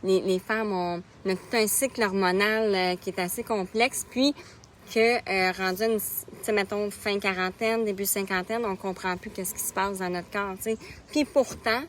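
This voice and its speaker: A woman's voice: mid-range voice, steady tone, moderate pace, a little hiss or hum. Chatty Québécois woman in her thirties. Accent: Québécois French. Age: 30s. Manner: casual, chatty, greets viewers by name mid-sentence. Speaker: nutrition coach. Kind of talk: live stream chat with viewers.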